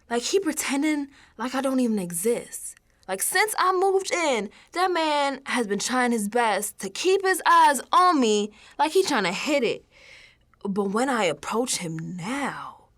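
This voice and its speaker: An actress playing a frightened, defiant young woman who is unraveling, in a colloquial, halting radio drama monologue. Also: on the high side, full character voice. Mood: hurt, self-soothing. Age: young adult. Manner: colloquial, halting, slow, drifting into hushed tones.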